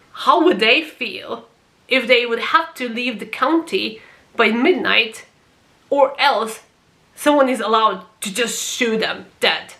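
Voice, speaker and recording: A woman from Croatia speaks English as a second language in a casual vlog. She reviews a TV series with a bright, slightly high voice and gets passionate, swearing now and then for emphasis.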